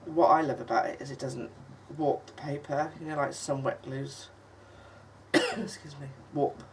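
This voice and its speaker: A woman hosting a crafting tutorial, croaky and husky from a cold, chatting in a halting way with lots of ums and the odd cough. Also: steady tone. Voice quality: husky, low for her. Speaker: craft channel host. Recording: distant mic with faint noise.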